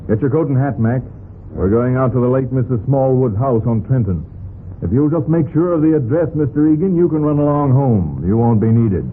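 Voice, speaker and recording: A man's voice: medium pitch, lively intonation, a little fast, close to an everyday voice. A man acting a commanding old-radio police captain. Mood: firm. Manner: authoritative, clipped questioning. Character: brisk commanding male police captain leading a murder investigation. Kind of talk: old-time radio crime drama acting. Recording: tinny, noisy vintage radio recording.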